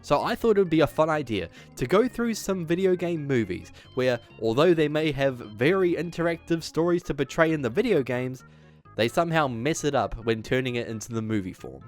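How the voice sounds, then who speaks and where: A man's voice: fairly high pitch, big pitch swings, brisk, soft background music. A man hosting a video essay, reading a script with emphatic delivery and dropping in casual asides.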